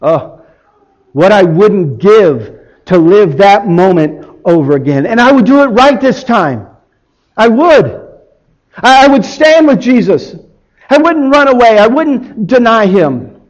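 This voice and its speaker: A man performing a guilt-ridden disciple confessing his betrayal in a halting, emotional dramatic biblical monologue. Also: a little high, highs cut off. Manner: halting, emotional, swinging from hushed to shouting.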